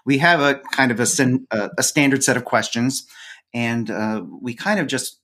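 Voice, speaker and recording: A man's voice: medium pitch, moderate pace, a bit bright. A man with a mid-range voice talks as a guest podcaster in a casual interview. He is informal and wry, joking as he explains. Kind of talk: casual conversational interview chat.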